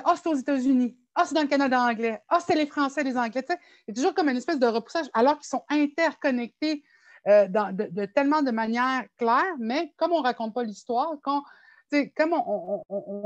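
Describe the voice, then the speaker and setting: Québécoise panelist with a dry close sound. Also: female voice, high voice, dry recording. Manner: conversational, many "tu sais" fillers. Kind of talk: panel discussion.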